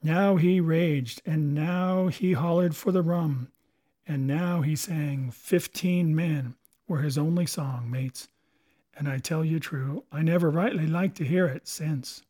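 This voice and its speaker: A man voicing a slow, brooding old pirate. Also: fairly high pitch, fairly even intonation, dark tone. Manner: slow, brooding sailor talk.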